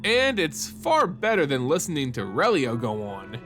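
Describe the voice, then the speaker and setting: A man's voice: fairly high pitch, wildly swooping pitch, normal speed, a bit bright, faint music behind. A man reading a fantasy story aloud as narrator, in a dramatic scripted style.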